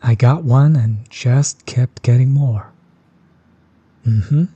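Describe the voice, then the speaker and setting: A man playing a chill, friendly tattoo artist in an intimate audio roleplay, soft-spoken, easygoing and reassuring. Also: medium pitch, takes it slowly, slightly rough, no echo, highs cut off. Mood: candid, amused. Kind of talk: intimate one-sided audio roleplay, casual chat.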